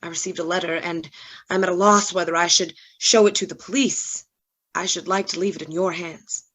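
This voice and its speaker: A woman playing a tormented, remorseful gentleman doctor with formal, anguished delivery in a gothic radio drama. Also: fairly low pitch, fairly even intonation, fairly quick, poor audio. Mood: troubled, guarded. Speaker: actor in a radio play.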